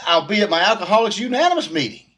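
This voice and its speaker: An actor playing a brash, jovial, self-satisfied bandleader, breezy and slangy, in 1940s radio comedy style. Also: male voice, high-pitched, sing-song, very fast, a bit bright, full character voice. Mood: earnest goofy.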